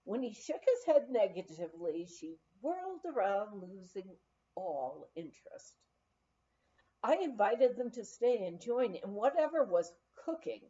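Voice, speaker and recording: A woman reading a memoir aloud in an expressive storytelling style. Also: mid-range voice, measured pace, lo-fi sound. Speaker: audiobook reader.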